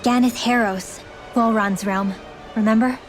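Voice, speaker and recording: A woman's voice: fairly high pitch, steady tone, unhurried, faint music behind, natural voice. A woman playing an earnest, chatty teenage girl companion in a fantasy game, casual and concerned. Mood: concerned, relieved. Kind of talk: video game voice acting.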